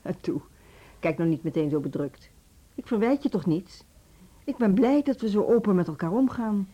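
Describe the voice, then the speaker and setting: A stern middle-aged headmistress in a radio play. Her voice is soft-edged and dark in tone, at an ordinary female pitch. She speaks curtly and expects to be obeyed. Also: lively intonation, normal speed, breathy edge, dull audio. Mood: firm, placating. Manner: authoritative, curt. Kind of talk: Dutch radio drama acting.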